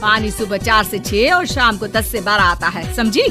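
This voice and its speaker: A woman's voice: mid-range voice, normal speed, over music, natural voice. A woman playing a proud, touchy middle-aged village mother-in-law in a cartoon story, scolding with emphasis. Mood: stern. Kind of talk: Hindi cartoon story acting.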